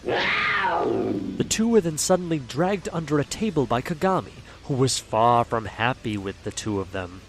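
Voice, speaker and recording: A man's voice: mid-range voice, expressive, measured pace, fairly bright tone, slight background noise, poor audio. A man narrating a fan audio drama in a hammy, theatrical way, speaking straight to the audience. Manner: hammy, fourth-wall-breaking storyteller.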